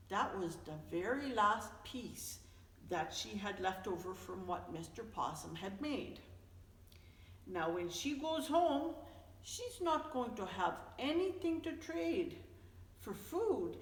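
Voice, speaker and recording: Woman reading as a patient storybook father bear, echoey. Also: medium pitch. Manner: patient, explanatory, emphatic storybook delivery. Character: gentle, wise father bear explaining to his young son. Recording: echoey, reverberant room, distant mic.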